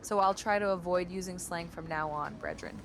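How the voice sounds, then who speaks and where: A woman's voice, a touch low, reads viewer requests and answers them in a stiff, overly formal tone. She keeps tacking the same quaint word of address onto her lines. Steady background hiss runs under her voice. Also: moderate pace. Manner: formal, stiff, repeats "brethren". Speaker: chat-command voice reading messages. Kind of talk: reading chat requests and scripted replies.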